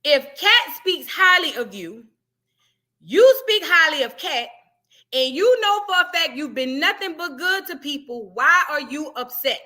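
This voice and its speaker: A woman's voice: sits high, very animated, a little slow, sharp tone. A woman giving blunt, questioning commentary as she reacts to a clip.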